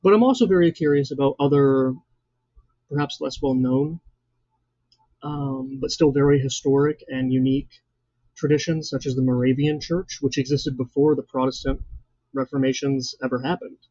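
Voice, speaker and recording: A young man in his twenties, hosting his own channel, introducing himself in an earnest, measured way with frequent fillers. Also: medium pitch, dark tone, dry recording, highs cut off. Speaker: channel host. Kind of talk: scripted personal introduction.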